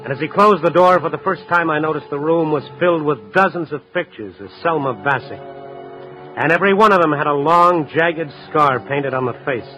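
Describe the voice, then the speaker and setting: An actor playing a tough, dogged night-beat newspaper reporter in a 1950s radio drama, narrating and talking in a terse, urgent, hard-boiled way. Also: male voice, a little high, normal speed, pushed and bright, faint music behind, low-quality recording. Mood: grim, tense.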